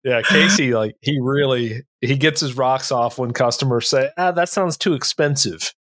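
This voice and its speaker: A man's voice: medium pitch, moderate pace, fairly bright tone, a little hiss or hum. A man hosting a podcast, chatting casually, teasing and putting questions to the others. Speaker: podcast host. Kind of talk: casual chat, teasing and interviewing.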